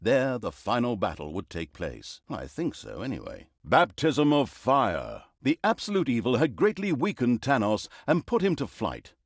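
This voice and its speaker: An actor playing a sarcastic, pompous storyteller, narrating a fantasy video game with dry, mock-dramatic humor. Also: male voice, mid-range voice, wildly swooping pitch, moderate pace, hardly any pauses, no room sound. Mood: wry, sardonic. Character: sarcastic, pompous male storyteller of evil deeds. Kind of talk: theatrical scripted fantasy narration.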